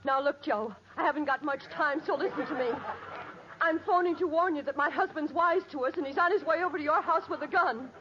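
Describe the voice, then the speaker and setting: An actress playing a frantic unfaithful wife in a 1940s radio comedy. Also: female voice, sits high, fairly quick, close to an everyday voice. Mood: frantic, urgent. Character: frantic cheating wife warning her lover. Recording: muffled, hissy old radio recording.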